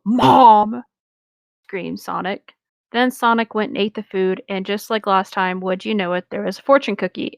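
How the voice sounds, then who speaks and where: A woman's voice: medium pitch, no room sound. A woman reading a story aloud as comic narration, with casual banter among friends. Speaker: podcast co-host reading a fan fiction aloud.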